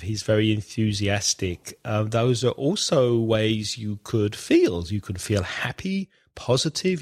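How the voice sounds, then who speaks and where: A man co-hosting an English-learning podcast, explaining vocabulary clearly while blocked up with a cold. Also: medium pitch. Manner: clear, explanatory, spells out words. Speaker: podcast co-host, English teacher. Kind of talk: teaching podcast chat.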